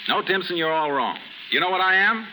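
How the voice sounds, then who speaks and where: An actor playing an aimless young American son, aggrieved and wryly flippant, in a 1930s radio drama. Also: male voice, sits high, expressive, a little fast, bright, forceful tone, full character voice. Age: young adult. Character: aimless young wastrel son, aggrieved, wryly self-mocking, fond of drink. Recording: very noisy 1930s radio recording.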